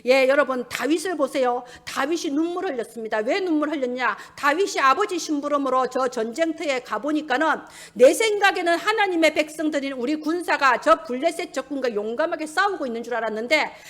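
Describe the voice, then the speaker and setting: Woman preacher, high voice, emphatic sermon delivery, urgent, rhetorical questions. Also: animated, brisk, few pauses, fairly bright tone. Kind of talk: sermon, exhorting listeners with Bible stories.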